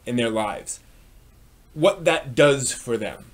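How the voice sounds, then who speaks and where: A man hosting a live stream review, talking unscripted in a halting way, often repeating and rephrasing himself. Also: mid-range voice, animated. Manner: frequent throat clearing.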